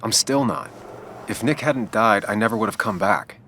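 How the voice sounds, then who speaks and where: Brooding, very low-voiced ex-journalist. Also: male voice, normal speed, sharp tone, slight background noise. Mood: guarded, sombre. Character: brooding ex-journalist man back in his hometown, guarded, wry. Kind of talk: naturalistic video game acting.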